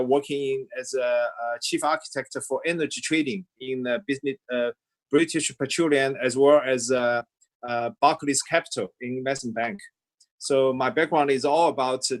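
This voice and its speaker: A man, a technology executive, introducing himself on an online panel, halting and filling pauses with many filler sounds. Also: medium pitch. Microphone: video call.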